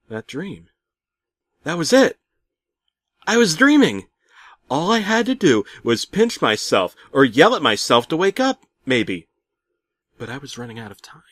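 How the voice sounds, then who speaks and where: A man narrating a comic creepypasta theatrically, swinging between hushed and loud delivery. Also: medium pitch, no room sound, clean studio sound. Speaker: horror story narrator.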